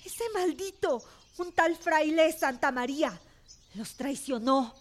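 A woman's voice: high-pitched, expressive, takes it slowly. An actress playing a passionate, indignant young woman conspirator in a dramatic, earnest radio drama style. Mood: distraught, furious.

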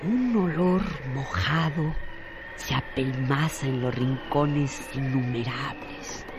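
Very slow male radio-drama narrator, noisy recording. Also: medium pitch. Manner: deliberate, dramatic phrasing with pauses. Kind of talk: slow literary scripted narration. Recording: noisy recording with clear background noise.

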